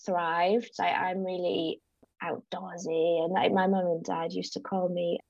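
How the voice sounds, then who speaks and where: A woman's voice: on the low side, level tone, unhurried, creaky, a little mellow, dull audio, slight background noise. A woman in her thirties, raised in South Africa and North East England, chatting as a podcast guest with frequent restarts. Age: 30s. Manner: chatty, restarts often, laughs easily. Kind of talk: casual interview chat.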